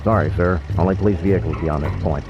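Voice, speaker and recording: A man's voice: sits low, fairly even intonation, fast talker, dull audio, over music, close to an everyday voice. An actor playing a brusque, officious male police officer at a roadblock in a video game. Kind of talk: video game cutscene acting.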